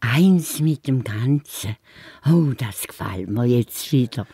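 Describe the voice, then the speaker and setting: A woman with a Swiss accent voicing a lively, naive, eager little magic mouse in a children's radio play. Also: extremely low pitch, slow pace, breathy edge, no echo, studio quality, exaggerated voice. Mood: curious, cheeky. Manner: eager, questioning, stammering in excitement.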